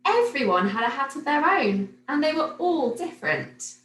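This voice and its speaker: A woman reading a children's picture book aloud like a teacher, in an expressive, animated storytelling manner. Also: high-pitched, normal speed. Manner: animated, clearly paced storytelling for young children. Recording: roomy echo, noticeable background noise.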